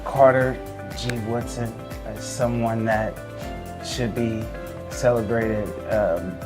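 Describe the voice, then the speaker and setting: A man's voice: fairly low pitch, fairly even intonation, slow, over music. A man from the Indianapolis area working at a school, answering sincerely and plainly. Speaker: school staff member.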